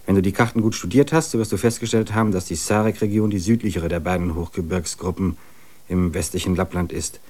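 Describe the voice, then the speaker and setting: An actor playing an earnest German man of forty who longs for wilderness, reading out his letters in a measured manner. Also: deep voice, fairly even intonation, darkish tone. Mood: matter-of-fact planning. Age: 40s. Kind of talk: radio play, letter reading.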